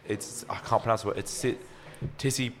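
A young man hosting a casual podcast chat, swearing freely and chiming in quickly. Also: mid-range voice, steady tone, a bit bright. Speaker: podcast host.

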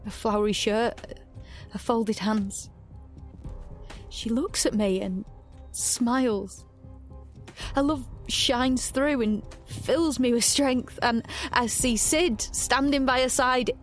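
A woman's voice: a little high, slow pace, faint music behind. An actress playing a determined fugitive, narrating her ordeal as an intense inner monologue in an audio drama. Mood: awed, determined.

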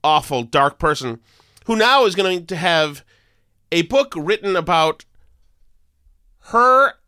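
Male co-host, cold-stuffed nasal voice, sarcastic ranter, slightly high, bright tone. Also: very animated, takes it slowly, clear, resonant tone, no echo, clean studio sound. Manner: sarcastic, emphatic ranting. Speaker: podcast co-host. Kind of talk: casual political chat.

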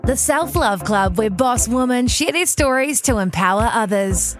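A woman's voice: on the high side, animated, normal speed, music in the background. A woman hosting an interview podcast, introducing the show and putting questions to a guest. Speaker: podcast host.

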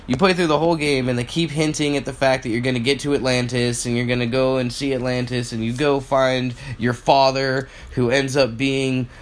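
A man's voice: mid-range voice, little pitch movement, brisk, few pauses. A young man co-hosting a podcast, telling stories in a casual, rambling, sweary way. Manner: profane, filler-heavy storytelling. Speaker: podcast co-host. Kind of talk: casual chat.

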